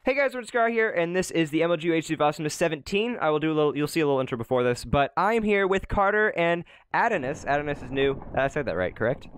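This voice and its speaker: A man's voice: on the high side, animated, fast talker, few pauses, faint music behind. A young man hosting a gaming channel, chatting casually and rambling while he plays with friends, often laughing. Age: young adult. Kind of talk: casual gameplay commentary.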